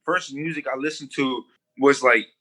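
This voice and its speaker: A man's voice: medium pitch, moderate pace, bright, forceful tone. A man being interviewed as a guest on a podcast, talking loosely with frequent restarts and casual swearing. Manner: loose, rambling, swears freely, many restarts. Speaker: guest musician.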